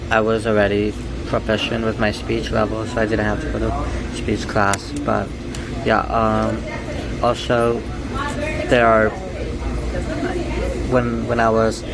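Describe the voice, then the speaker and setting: A young man from Florida answering interview questions in a halting way, with many ums and restarts. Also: on the low side. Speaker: guest author. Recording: loud background noise.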